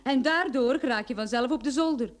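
An actress playing a bold, teasing private detective in a Dutch radio play, with a playful, self-assured manner. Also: female voice, high-pitched, normal speed, lightly acted. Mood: confident.

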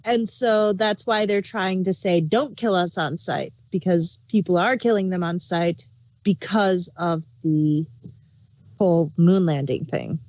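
A woman's voice: lowish voice, unhurried, darkish tone, poor audio. A woman chatting casually as a panelist on a review podcast. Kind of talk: casual chat.

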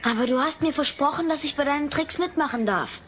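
Small boy, high voice, eager, pleading, comedy audio play, faint noise behind. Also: brisk, a bit bright.

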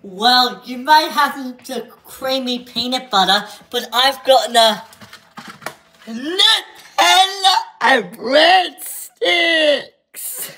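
A woman putting on a silly, theatrical boasting voice with drawn-out words, run through voice effects in a home clip. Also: animated, sharp tone, heavily acted. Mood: boastful, silly. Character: silly exaggerated weird-voiced snack boaster. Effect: pitch and voice filters. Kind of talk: comic skit, repeated with voice effects. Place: home kitchen.

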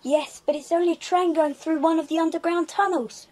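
A woman's voice: very high voice, steady tone, fairly quick, a little mellow. A woman playing an eager, good-natured English schoolboy in a children's audio drama, with a British accent.

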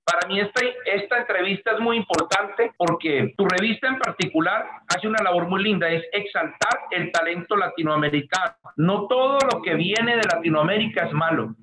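A man's voice: sits high, moderate pace, hardly any pauses, a crowd in the background, rough recording. A middle-aged Colombian man with a Colombian accent, speaking earnestly and effusively in long flowing sentences on a video call from a room at home. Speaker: artist guest. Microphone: webcam on video call.

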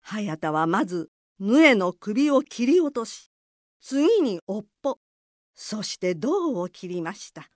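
A woman's voice: mid-range voice, big pitch swings, slow, darkish tone, dry recording. A woman narrating a folk tale for a radio drama in scripted storytelling style.

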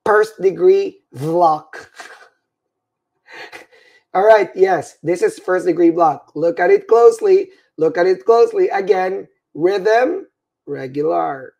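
A man's voice: sits high, gravelly, a little mellow. A middle-aged Filipino man, English as his second language, teaching an EKG class by webcam, tired and repeating "right?" to check in. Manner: repetitive, checks in with "all right?" and "right?". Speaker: nursing instructor. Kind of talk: livestreamed EKG lecture.

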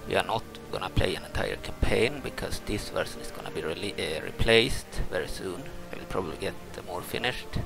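Very gravelly, low-voiced Swedish man. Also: low-quality recording. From Sweden, adopted from Brazil. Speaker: gaming video host. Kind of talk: casual unscripted game commentary. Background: loud game music.

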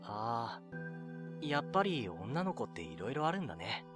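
A man playing a mild, modest 19-year-old in an amateur audio drama, with a casual, gentle, self-effacing manner. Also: medium pitch, very animated, unhurried. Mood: easygoing, encouraging. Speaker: narrator and lead actor in an audio drama. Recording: music behind the voice.

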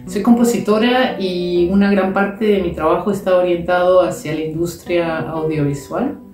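A woman's voice: on the low side, fairly even intonation, normal speed, darkish tone, soft background music. Peruvian-accented woman, distant and roomy. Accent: slight Peruvian. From Peru. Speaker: composer. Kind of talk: recorded greeting. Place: indoor room with piano. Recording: roomy sound, distant from mic.

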